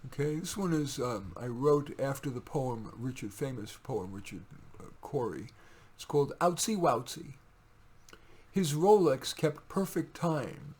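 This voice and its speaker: A man with a husky voice reading his own poems in a deliberate, wry way, with informal asides. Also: on the high side, a little mellow.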